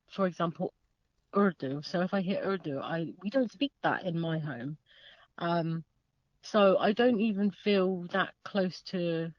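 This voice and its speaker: A woman's voice: fairly low pitch, dry recording. Young woman chatting, lots of ums and laughs, muffled dry recording. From southern England. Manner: hesitant, many fillers, laughs often. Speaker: interviewee. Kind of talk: casual reflective conversation. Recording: dull lo-fi sound.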